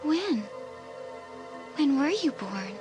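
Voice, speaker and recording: An actress playing an excitable, frightened teenage girl with an urgent, emotional delivery in a dramatised audiobook. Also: extremely slow, over music, full character voice. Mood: bewildered. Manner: breathless, urgent, highly emotional.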